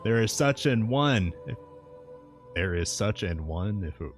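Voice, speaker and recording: A man's voice: on the low side, lively intonation, measured pace, soft background music. A man reading aloud and voicing a solemn elderly professor in a storybook, with formal, old-fashioned speech. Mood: solemn pleading. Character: solemn elderly male professor, Van Helsing.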